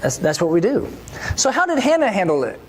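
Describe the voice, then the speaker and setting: A mid-pitched preacher talks through a church sermon as if chatting with friends. The delivery keeps turning to the congregation with rhetorical questions. A faint hiss sits under the voice. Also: huge pitch swings, a little fast, poor audio. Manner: conversational, full of rhetorical questions.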